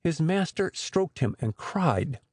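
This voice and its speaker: Expressive husky male storyteller. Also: on the high side, slow pace, no echo, studio quality. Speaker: audiobook narrator. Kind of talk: scripted storybook narration.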